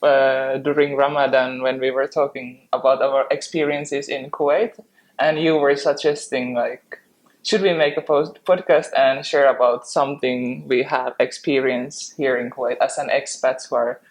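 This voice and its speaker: A woman's voice: extremely low pitch, steady tone, moderate pace, poor audio. A woman from Finland with a Finnish accent, casually explaining and chatting as a podcast co-host.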